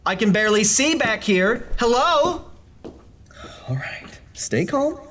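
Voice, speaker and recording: A man playing a big-city architect trapped alone in a dark library, uneasy and pleading in an audio horror drama. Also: extremely high pitch, lively intonation, takes it slowly, exaggerated voice. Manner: skeptical and uneasy, turning to panicked pleading.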